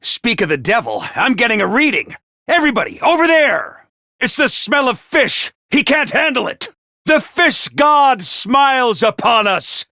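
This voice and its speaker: A man's voice: very high-pitched, big pitch swings, unhurried, vocal fry, pushed and bright, no room sound, studio quality, heavily acted. A man voicing a manic, mischievous male mascot commander in a game, talking in a hyper, theatrical and gleeful way. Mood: excited urgency. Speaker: game character voice lines. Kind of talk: game voice acting.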